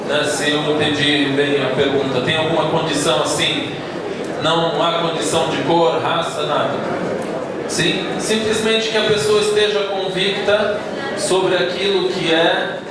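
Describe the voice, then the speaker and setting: A man's voice: a little high, fairly even intonation, measured pace, a loud crowd. A man answering an audience question in a plain, somewhat hesitant way. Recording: echoey hall, far from mic, loud noise.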